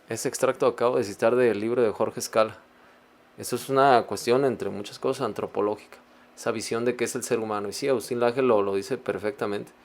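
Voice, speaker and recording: A man hosting a reaction video, reading passages aloud and commenting on them in a measured, reflective way. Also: mid-range voice, a little mellow.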